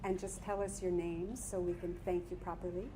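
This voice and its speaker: A woman's voice: lowish voice, flat delivery, normal speed. Woman reading on stage, faint background noise. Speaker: theater director and performer. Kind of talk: staged reading and speaking to an audience.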